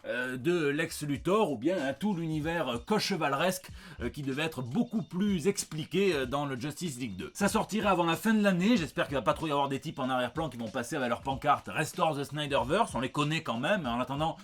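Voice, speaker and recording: Higher-pitched French man, wry comics host. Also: animated, fairly quick, a bit bright. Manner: wry, joking, chatty. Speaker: host of a comic book news show. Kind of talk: scripted news review with conversational asides.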